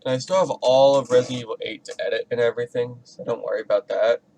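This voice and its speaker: Casting: male game streamer, medium pitch, informal off-the-cuff commentary that rambles a little. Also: steady tone, quick pace, warm tone, slight background noise, low-quality recording. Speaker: gaming streamer. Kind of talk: casual gameplay commentary.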